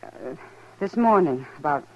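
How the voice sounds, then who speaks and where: A woman playing a serious frontier woman, hesitant and low-key, in 1950s radio western style. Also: animated, moderate pace. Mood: concerned, hesitant. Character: serious, familiar frontier woman friend of marshal. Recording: muffled 1950s radio recording.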